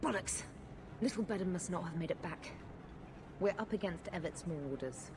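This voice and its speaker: A woman's voice: on the low side, lively intonation, normal speed, background noise, natural voice. A woman playing an embattled, dutiful guard lieutenant with a blunt soldierly manner in a video game. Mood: harried. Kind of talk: video game cutscene acting.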